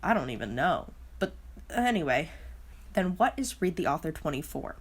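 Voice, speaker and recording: A woman's voice: low-pitched, sing-song, moderate pace, breathy edge. A woman narrating a casual scripted recap as a video host, with a wry, openly baffled manner.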